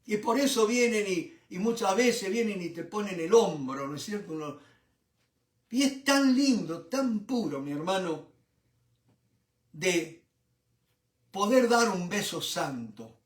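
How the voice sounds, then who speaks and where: A man's voice: sits high, animated, slow, long pauses, echoey room, recorded from a distance. An older man from Argentina with an Argentine Spanish accent, teaching a Bible study in sermon style. He often asks rhetorical questions and keeps repeating "¿no es cierto?".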